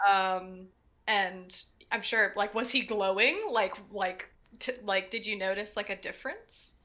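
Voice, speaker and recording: A woman's voice: mid-range voice, a little slow, bright, forceful tone. A woman in casual group chat, speaking in short piecemeal phrases sprinkled with "like". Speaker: cast or crew member on a panel.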